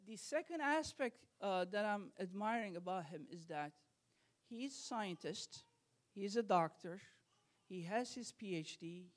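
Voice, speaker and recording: A man from Turkey with a Turkish accent, hosting an art exhibit reception and giving an earnest, halting welcome speech at a school gallery. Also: sits high. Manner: earnest, halting, many fillers and restarts.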